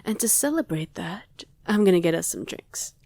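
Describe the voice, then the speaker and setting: A woman performs a doting vampire wife in an intimate ASMR roleplay. Her voice is dark, soft and breathy, often slipping into a whisper. She is affectionate and gently teasing, heard very close and dry. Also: lowish voice, animated, moderate pace, no room sound. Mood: cozy, affectionate.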